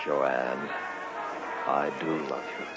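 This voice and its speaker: A man's voice: a bass voice, flat delivery, very slow, creaky, heavily acted. An actor in an old-time radio drama playing a troubled, curt man who fears he is being hunted. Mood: evasive, curt. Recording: muffled vintage radio recording, music behind.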